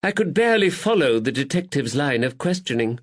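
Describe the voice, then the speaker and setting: A man voicing a dramatic aristocratic lady, theatrical and breathy. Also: deep voice, sing-song, fairly quick, voice pushed up. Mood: weary. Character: weary, affected aristocratic lady.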